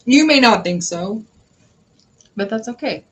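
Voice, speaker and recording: A woman's voice: on the high side, lively intonation, measured pace. A woman hosting a tarot livestream in casual, rambling chat with viewers, laughing often. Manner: chatty and giggly, laughs often; rambling, with restarts and filler words. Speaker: tarot reader and livestream host.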